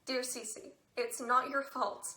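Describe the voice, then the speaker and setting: A woman's voice: high-pitched, little pitch movement, slow pace, sharp tone, slight background noise, exaggerated voice. A woman playing a depressed, wry teenage girl reading out letters in a stage drama. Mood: gentle. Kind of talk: one-act play letter monologue. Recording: roomy echoing sound.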